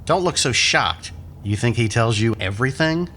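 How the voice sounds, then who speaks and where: A man's voice: medium pitch, expressive, normal speed, bright, forceful tone, a little hiss or hum. A man playing a sardonic, morally challenging character in an audio drama, with a wry, pointed delivery.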